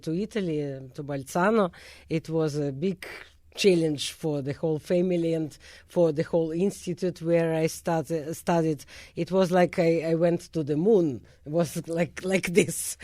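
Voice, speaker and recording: A woman's voice: low-pitched, warm tone, dry recording, clean studio sound. A woman from Russia with a Russian accent, a concert pianist answering interview questions in earnest, plainly phrased English that is not her first language. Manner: earnest, plain phrasing with non-native grammar.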